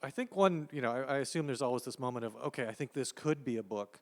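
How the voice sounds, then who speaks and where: A man's voice: mid-range voice, fast. A man acting as interviewer, asking questions in a casual conversational way at a live event. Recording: echoey hall with long reverb.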